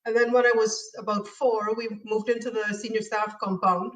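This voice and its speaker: A woman's voice: fairly high pitch, fairly even intonation, normal speed, fairly bright tone, distant mic, low-quality recording. A woman from Guyana, an author answering interview questions in a thoughtful, hesitant way with many fillers. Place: abroad in the Netherlands.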